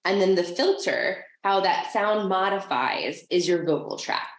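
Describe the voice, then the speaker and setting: A woman speech therapist giving an interview, explaining things with enthusiasm, with vocal fry at the ends of her phrases. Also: lowish voice, lively intonation, measured pace, roomy sound.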